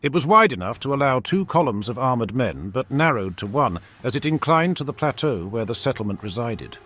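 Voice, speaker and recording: A man narrating a fantasy story like an audiobook, with measured, theatrical delivery and emphatic phrasing. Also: medium pitch, big pitch swings, a bit bright. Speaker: narrator reading fantasy fiction. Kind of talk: dramatic scripted audiobook narration.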